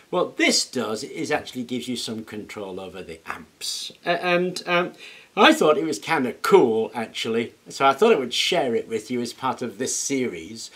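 A middle-aged man talks through a demonstration in his workshop, explaining each step as he goes. His voice is slightly husky and swings up and down a lot, and he pokes fun at himself. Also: mid-range voice, a bit bright. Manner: chatty, self-deprecating, explains as he works. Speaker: workshop video host. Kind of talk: casual demonstration. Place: indoor home workshop.

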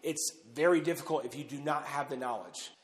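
A man in his thirties speaks casually to camera while standing in a huge underground missile silo. His voice sits a little high for a man, and he talks loosely, leaning on fillers. The long concrete reverb trails after every phrase. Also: brisk. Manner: conversational, loose, with frequent "uh" and "pretty much". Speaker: bunker owner and host.

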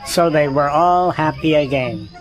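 A man's voice: a little high, a little slow, rough recording. A man narrating a children's storybook audio drama in a scripted reading style. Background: music.

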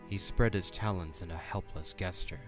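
A male narrator reads a scripted fantasy audio drama. His voice is low in pitch. Faint music runs behind the narration. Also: moderate pace.

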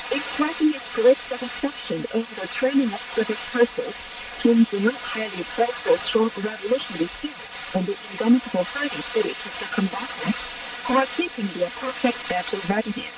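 A woman newsreader with a fairly high voice reads a formal, solemn bulletin. She comes over shortwave radio, sounding distant, with clear static hiss around her words. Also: brisk, dull audio. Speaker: radio news reader. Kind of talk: scripted news bulletin reading.

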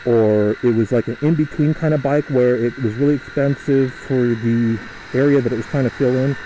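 A young man talks through his ride in a loose, rambling way, full of fillers and restarts. His voice is mellow and mid-pitched. It is picked up by a helmet mic with faint road noise behind it. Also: fairly even intonation, rough recording. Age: young adult. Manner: rambling, chatty, halting with fillers. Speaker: motovlogger. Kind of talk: casual riding commentary. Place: riding a motorcycle outdoors through a suburb.

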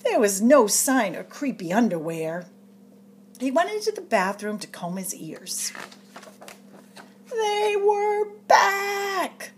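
A woman reading a picture book aloud to children in an expressive, dramatic storyteller's way, drawing out suspenseful phrases. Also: high-pitched, slow, slight background noise. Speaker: storytime reader at a public library.

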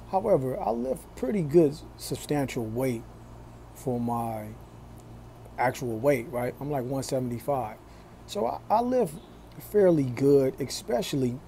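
Slow, mellow-voiced man in his forties. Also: medium pitch, slight background noise. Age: 40s. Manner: slow, deliberate, preachy. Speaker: vlogger. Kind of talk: casual talk to camera. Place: small room indoors.

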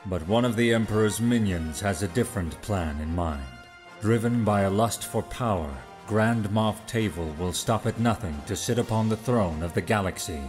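A man narrating a script dramatically, in a solemn, measured manner. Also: on the low side, darkish tone. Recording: music behind the narration.

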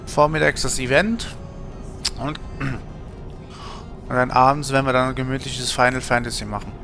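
Male streamer, thirties, forceful voice with plenty of fry, rambling and colloquial game chat. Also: mid-range voice, fairly even intonation, a little slow, soft background music. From Germany. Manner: rambling, colloquial, thinking aloud. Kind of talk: casual livestream chat while gaming.

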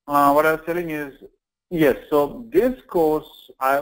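A man's voice: mid-range voice, poor audio. A man teaching an online class informally, explaining things step by step with repetition and check-in questions. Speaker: online course instructor.

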